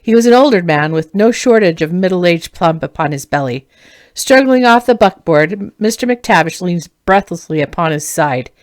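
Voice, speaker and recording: Female author-narrator for fantasy audiobook. Slightly low pitch, dry close sound, expressive scripted read. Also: moderate pace, no room sound. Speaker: author reading own novel.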